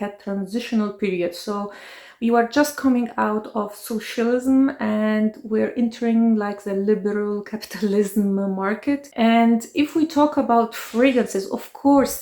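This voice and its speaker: A woman's voice: a little high, darkish tone. A woman in her forties from Egypt, hosting a chatty, personal vlog about her life in fragrances. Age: 40s. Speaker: fragrance channel host. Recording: echoey room.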